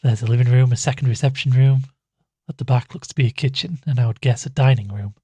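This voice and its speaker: A man's voice: mid-range voice, fast, mellow, slightly rough, no echo, natural voice. A man playing an uneasy, wryly weary investigator in an audio drama, speaking hesitantly. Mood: uneasy, guarded. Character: uneasy male investigator narrating his case, reluctant and wry. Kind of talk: audio drama dialogue and first-person narration.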